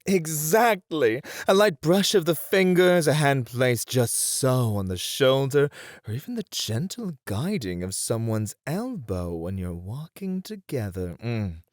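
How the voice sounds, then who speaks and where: A man playing a suave, playfully formal etiquette tutor in an ASMR roleplay, with theatrical, deliberate, flowery phrasing. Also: mid-range voice, big pitch swings, dry recording, studio quality. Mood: soft, suggestive.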